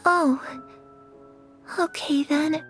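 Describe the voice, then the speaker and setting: A woman playing a gentle, trusting, curious young girl in a video game, with an innocent, wondering manner. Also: sits high, steady tone, very slow, soft background music, full character voice. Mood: calm, trusting.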